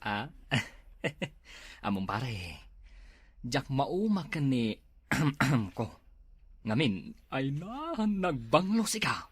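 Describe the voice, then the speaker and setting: A man playing a husky, grumbling sleepy husband. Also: mid-range voice, sing-song, drawn-out words, pauses often, no echo, exaggerated voice. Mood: sheepish. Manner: grumbling, mumbling. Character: sleepy husband dodging his wife. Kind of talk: comedy web drama acting.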